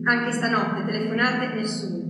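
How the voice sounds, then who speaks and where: An actress playing an anguished wartime woman waiting for her deported man, in a theatrical diary monologue of halting, repeated phrases. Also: on the high side, close to an everyday voice. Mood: tense, weary. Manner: halting short phrases, repetitive, haunted. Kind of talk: dramatic theatre monologue, diary read aloud. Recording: echoey hall, distant mic, music behind.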